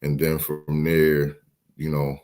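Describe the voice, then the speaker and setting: A man's voice: rumbling low, monotone, slow, darkish tone. A young man from Philadelphia, a company CEO as a guest, talking casually with hesitations and repeated words. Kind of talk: casual interview talk.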